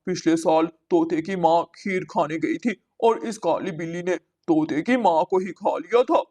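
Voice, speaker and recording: A woman's voice: lowish voice, normal speed, warm tone, lightly acted. A woman voicing a wary, protective crow husband in a children's cartoon story, speaking in an urgent, scolding and pleading way. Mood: alarmed warning. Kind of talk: children's animated story dialogue.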